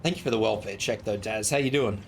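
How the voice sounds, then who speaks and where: Male streamer, hoarse and losing his voice, low-pitched, casual and sweary. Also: fast, slight background noise. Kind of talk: casual live game stream chat.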